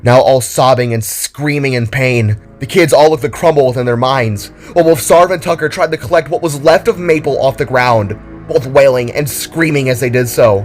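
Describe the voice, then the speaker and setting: A man's voice: mid-range voice, normal speed, hardly any pauses, soft background music. A man narrating a scripted, dramatic story.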